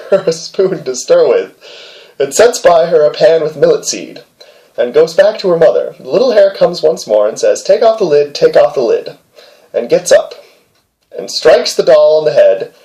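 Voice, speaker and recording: A man hosting storytime, reading a fairy tale aloud with playful, deadpan asides. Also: fairly high pitch, rough recording. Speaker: host and storyteller.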